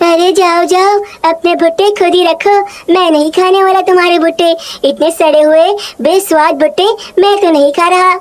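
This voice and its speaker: A woman's voice: extremely high pitch, steady tone, moderate pace, few pauses. A woman voicing a disgusted, scornful male bird customer in a children's cartoon, complaining dismissively.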